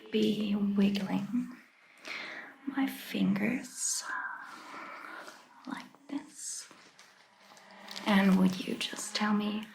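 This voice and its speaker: A woman playing a gentle, attentive vampire doctor in a soft ASMR roleplay, whispering breathily and giving soothing, reassuring instructions. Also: medium pitch, gravelly, reverberant, rough recording. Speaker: ASMR performer.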